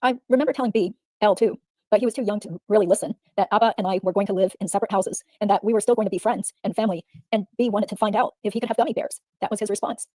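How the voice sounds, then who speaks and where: A woman reading the part of a female witness giving guarded, measured answers in a deposition. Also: mid-range voice, steady tone, darkish tone, dry recording, close to an everyday voice. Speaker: reader in a deposition reenactment. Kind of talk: read-aloud legal reenactment.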